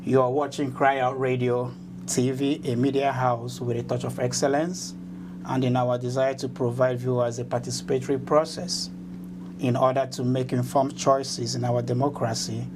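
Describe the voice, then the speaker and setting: A man's voice: mid-range voice, noisy background. A man hosting an interview show in a formal, announcer-like way. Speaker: host.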